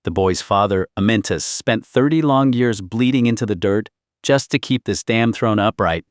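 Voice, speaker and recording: A grim old warrior voiced by a male narrator speaks like a weary, fierce patriarch who has seen it all. The pitch is fairly low and the audiobook sound is dry and close. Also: moderate pace, dry recording, lightly acted. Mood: grim resignation.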